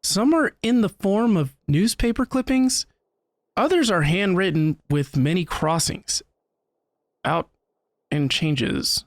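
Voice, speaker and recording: A man streaming a game, reading its text aloud in a deliberate, expressive, dramatic way. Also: sits high, dry recording, clean studio sound.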